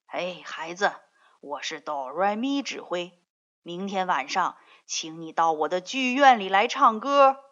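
Woman voicing an excitable, gushing storybook opera conductor. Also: fairly low pitch, measured pace, lo-fi sound. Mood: eager. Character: excitable, money-minded male theatre manager and conductor.

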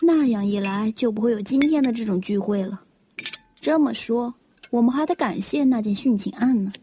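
Calculating young woman, high soft-toned voice, acted. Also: moderate pace, close to an everyday voice. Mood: sly. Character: calculating young niece eyeing the inheritance. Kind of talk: audio drama dialogue. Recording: faint noise, tableware clinks.

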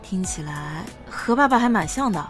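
A woman playing a gentle, easily flustered young woman who dotes on a child in an audio drama, soft and hesitant. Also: medium pitch, animated, normal speed, faint music behind, natural voice. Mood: coaxing. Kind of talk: Chinese audio drama acting.

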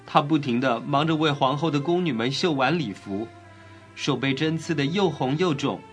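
Male actor as mournful prince statue, compassionate, earnest, raised pitch, storybook drama, faint music. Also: moderate pace, close to an everyday voice. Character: sorrowful, gentle prince statue with compassionate heart. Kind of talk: children's audio storybook acting.

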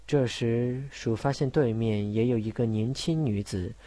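A man's voice: mid-range voice, a little slow, dark tone. A man giving scripted narration for an audio drama, in a literary storytelling style.

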